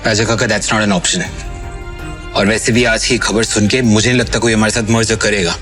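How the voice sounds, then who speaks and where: An actor playing an arrogant business partner in a Hindi audio drama, dismissive and haughty, mixing in English. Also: male voice, lowish voice, level tone, normal speed, a bit bright, faint music behind, close to an everyday voice.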